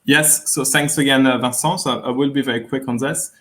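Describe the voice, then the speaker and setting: A man with a French accent speaks as a guest in an online talk, pitched in an ordinary middle range. He weighs each point and often stops on fillers as he searches for words. The sound is that of a video call. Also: steady tone, a bit bright. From France. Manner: measured, hesitant with many fillers. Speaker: guest insurance executive. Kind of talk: webinar interview.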